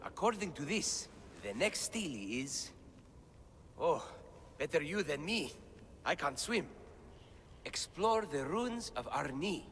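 Here is an earnest young scholar of ancient things in a video game. His pitch sits a little high and swings with eagerness as he explains. The voice rings in a reverberant chamber. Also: sing-song, slow pace, long pauses, fairly bright tone, slight background noise, heavily acted. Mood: thoughtful, explanatory. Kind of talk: video game cutscene acting.

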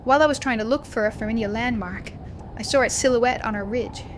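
A woman's voice: a little high, fairly quick, background noise, close to an everyday voice. A woman playing a young student confiding a horror story to her video diary in a dramatic way. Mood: panicked, breathless. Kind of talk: first-person horror audio diary.

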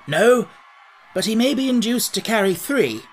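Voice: medium pitch, sing-song, unhurried, a little hiss or hum, voice pushed up. A storybook reader voicing a genial, self-important scarecrow king who speaks in a pompous, declamatory way. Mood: brisk, commanding.

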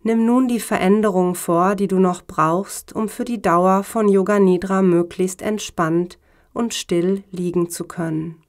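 A woman speaking as a yoga teacher, guiding a relaxation meditation in a calm, measured, instructional way. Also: medium pitch. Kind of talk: slow guided meditation narration.

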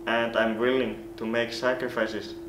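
A young man speaks in an earnest, rehearsed way, his showing on every phrase. His voice sits at an ordinary middle pitch. The camera mic picks up a roomy echo and a steady background hiss. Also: a little slow. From Iceland. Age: late teens. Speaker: golf recruit. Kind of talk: scripted self-introduction to coaches. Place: small plain room indoors.

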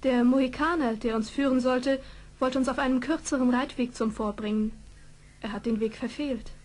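A woman's voice: high voice, steady tone, moderate pace, a little mellow, lightly acted. An actress playing a well-bred young lady, a colonel's daughter, in a radio play, with polite stage diction. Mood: earnest. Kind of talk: German radio play acting.

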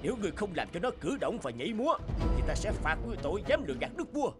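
A man voicing a stern, proud old king who speaks in commands, over loud music. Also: high-pitched, big pitch swings, rapid-fire. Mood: suspicious. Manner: commanding, imperious.

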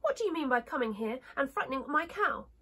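A woman's voice: high-pitched, very animated, fast, pushed and bright, heavily acted. A woman voicing an angry little china milkmaid in a storybook, indignant and scolding. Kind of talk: storybook character voice.

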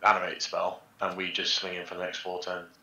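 Deep-voiced wry man in an echoey room. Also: completely flat delivery, fairly quick, sharp tone. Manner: wry, jokey, thinks aloud. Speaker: guest co-player. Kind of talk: casual gameplay chat.

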